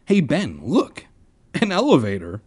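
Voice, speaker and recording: A man voicing a stubborn, excitable schoolboy in a storybook, insistent and easily flustered. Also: fairly high pitch, a little slow, husky, exaggerated voice. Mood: excited. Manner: sputtering, insistent.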